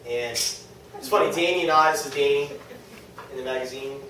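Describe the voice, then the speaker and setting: A man's voice: fairly high pitch, normal speed, sharp tone. A man with a New England accent gives an informal motivational talk to a small group, conversational and self-deprecating, often checking in with his listeners. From New England, United States. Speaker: speaker at a direct-sales team meeting. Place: echoey indoor meeting room with an audience. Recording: very echoey room, distant mic, faint noise.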